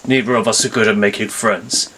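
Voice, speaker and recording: A man playing a brooding, terse vigilante father who speaks in a measured way. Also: on the low side, steady tone, very noisy, pitched below the performer's own voice, close to an everyday voice. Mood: gently serious.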